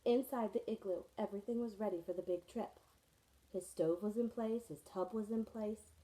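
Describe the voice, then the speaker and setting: A woman's voice: medium pitch, moderate pace, mellow, rough recording. A woman teacher reading a children's picture book aloud to her students, storytelling expressively with friendly asides.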